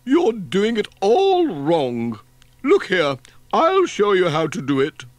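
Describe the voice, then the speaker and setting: A brisk, bossy village lady, acted by a man for a children's story. The voice is high and animated, with a scolding, no-nonsense manner. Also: no echo, full character voice.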